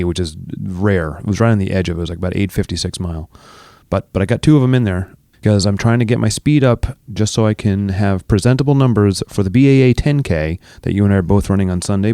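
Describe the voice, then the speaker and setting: A man's voice: on the low side, gravelly, mellow, no echo, studio quality. A man co-hosting a running podcast, chatting in a teasing, rambling way. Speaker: podcast co-host. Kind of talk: casual chat. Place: studio.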